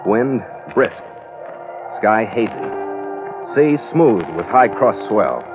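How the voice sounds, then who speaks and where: An actor playing a tough, gruff sea captain in a 1940s radio adventure, clipped and commanding. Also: male voice, fairly low pitch. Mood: terse, formal. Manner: hard-boiled, clipped, commanding. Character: tough, gruff ship's skipper, hard-boiled sea captain. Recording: old tinny radio recording with loud music.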